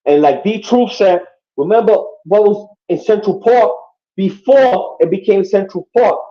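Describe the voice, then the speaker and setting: A man's voice: high voice, unhurried. A man from Puerto Rico talking casually and emphatically on a podcast, swearing often and checking in with his listeners. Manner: profane, emphatic, keeps asking "you know what I mean". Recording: muffled lo-fi audio.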